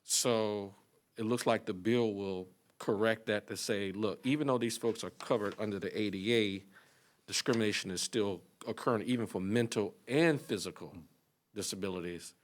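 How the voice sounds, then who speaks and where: Low-voiced male committee chair, informal and polite. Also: moderate pace. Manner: informal, thinking aloud, courteous. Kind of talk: chairing a legislative hearing. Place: legislative committee room.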